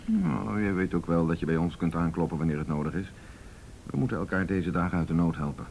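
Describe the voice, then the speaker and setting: An actor playing a blunt, world-weary police detective in a radio drama, laying things out matter-of-factly. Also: male voice, low voice, lively intonation, fairly quick, creaky, darkish tone, slight background noise. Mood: collegial.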